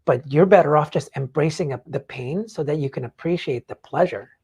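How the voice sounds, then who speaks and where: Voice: mid-range voice, a little fast, a little mellow, husky. A podcast host talking casually and emphatically in a rambling way, swearing freely while explaining ideas. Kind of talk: casual talk explaining book takeaways.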